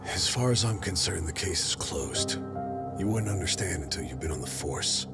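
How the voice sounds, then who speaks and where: An actor playing a jaded middle-aged police detective in a video game dub, blunt, sardonic and weary. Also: male voice, low-pitched, expressive, normal speed, creaky, loud music behind the voice. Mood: grim, resigned.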